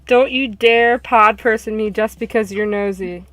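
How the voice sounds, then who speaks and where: A woman's voice: fairly high pitch, fairly even intonation, measured pace, a bit bright, rough recording. A woman voicing a reluctant, defensive young woman, the heroine of a visual novel.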